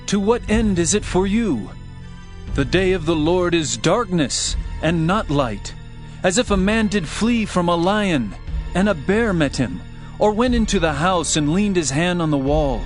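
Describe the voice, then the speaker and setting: A man's voice: high voice, expressive, a little slow. A man narrating a dramatized Bible reading in a solemn, declamatory manner. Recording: music under the voice. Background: background music.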